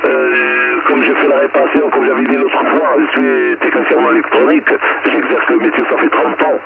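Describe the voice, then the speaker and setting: A middle-aged man with a Moroccan accent chatting haltingly and courteously as a radio operator, heard over a radio transmission. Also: medium pitch, wildly swooping pitch, vocal fry. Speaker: amateur radio operator, electronics technician. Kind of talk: casual radio contact chat. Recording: very noisy, narrow-band radio transmission.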